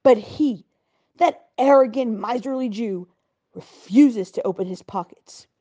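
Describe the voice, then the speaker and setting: A woman reading the part of an arrogant, furious male governor who booms and rants with scorn, in an audiobook. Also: a little slow, mellow. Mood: furious ranting. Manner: booming, ranting, scornful.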